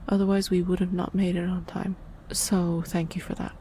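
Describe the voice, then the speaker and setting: Woman as a tough female gang lieutenant, dark mellow tone, cool, matter-of-fact, background noise. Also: on the low side, flat delivery, normal speed, natural voice. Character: tough female gang department head, ruthless with underlings. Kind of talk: scripted audio roleplay acting.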